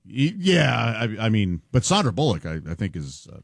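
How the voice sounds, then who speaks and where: A man's voice: on the low side, big pitch swings, normal speed, creaky, no room sound. A man on a radio panel making dry jokes in casual conversation. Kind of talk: casual comic banter.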